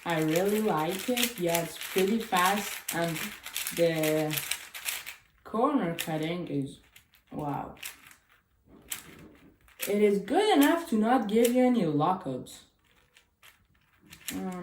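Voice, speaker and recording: A woman whose first language is Chinese, chatting casually and haltingly through a cube unboxing with small grammar slips. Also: fairly low pitch, animated. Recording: echoey room with clicking noise.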